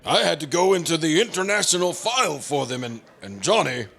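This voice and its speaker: Man acting a creaky, forceful radio-play federal agent. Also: on the high side, animated, exaggerated voice. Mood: earnest, halting. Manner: halting, with stammered pauses. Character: hesitant, earnest male federal bureau agent. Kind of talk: old-time radio drama acting.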